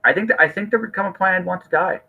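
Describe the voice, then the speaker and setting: A man's voice: sits high, very fast, creaky, narrow, tinny audio. A man talking casually as a podcast host, earnest and rambling, often restarting his phrases.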